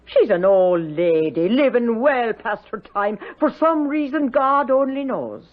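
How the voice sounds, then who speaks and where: A woman's voice: on the high side, lively intonation, measured pace. An actress playing a chatty, motherly Irish housekeeper in a 1970s radio drama, with folksy, lilting, gossipy talk. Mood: confiding, gossipy. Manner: folksy, voluble, lilting storytelling. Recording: dull lo-fi radio recording.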